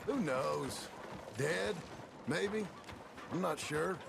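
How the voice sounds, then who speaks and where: An actor playing a weary, brooding ex-outlaw cowboy in a video game, terse and plain-spoken, with an American accent. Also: male voice, a little high, lively intonation, very slow, gravelly, background noise, full character voice. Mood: somber. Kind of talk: dramatic video game dialogue.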